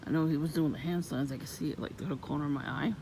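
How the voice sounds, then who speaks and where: A woman with a low voice, vlogging in a soft-spoken, rambling and suspicious way outdoors near her home. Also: steady tone, fast talker. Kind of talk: casual rambling monologue. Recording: noisy outdoor ambience.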